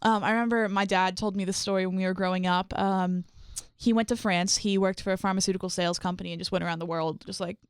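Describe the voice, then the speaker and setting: A woman hosting a radio interview in the studio, chatty and quick to wander into side stories. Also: mid-range voice, steady tone, clear, resonant tone, dry recording. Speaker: radio host. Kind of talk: casual interview chat.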